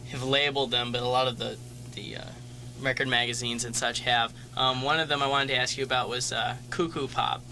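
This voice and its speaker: A man with a very bright, sharp-edged voice, hosting a campus radio interview. He is easygoing and agreeable, with a faint hum underneath. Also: mid-range voice, poor audio. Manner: easygoing, laughs along, agreeable. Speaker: radio DJ host.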